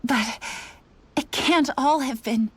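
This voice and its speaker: Higher-pitched, proud young highborn woman. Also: slow, breathy edge, full character voice. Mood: distressed, disbelieving. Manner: questioning, emotionally earnest.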